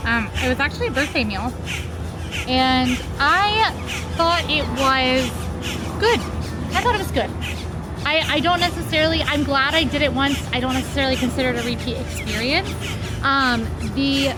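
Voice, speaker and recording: A woman co-hosting an outdoor vlog, chatting and reviewing casually off the cuff. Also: sits high. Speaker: vlog co-host. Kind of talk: casual unscripted banter and review. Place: outdoors at a shopping and dining complex.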